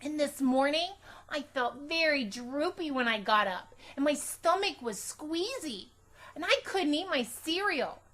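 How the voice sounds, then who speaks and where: A woman reading a children's book aloud as a storytime reader, expressive and deliberate, telling it in a childlike first person. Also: high-pitched.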